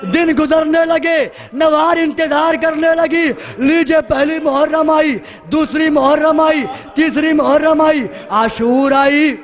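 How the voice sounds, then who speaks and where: A man's voice: very high voice, fairly even intonation, few pauses. A man delivering an emotional mourning sermon, telling a sacred story in an impassioned, lamenting and dramatic way. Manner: impassioned, lamenting, acting out dialogue within narration. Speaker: religious orator leading a mourning gathering.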